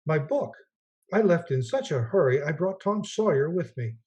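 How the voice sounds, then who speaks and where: A man playing a gentle, eager, naive guardian angel in a radio play, earnest and quaintly polite, with a husky voice. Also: a little high, sing-song, moderate pace. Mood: earnest, flustered. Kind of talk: 1940s-style radio play acting.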